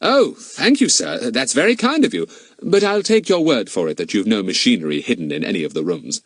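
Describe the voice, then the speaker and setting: A man's voice: lowish voice, sing-song, fairly quick, breathy edge, dry recording, clean studio sound. A man voicing a clever, confident schoolboy sleuth in an audiobook, talkative, polite and mock-innocent. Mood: polite, curious.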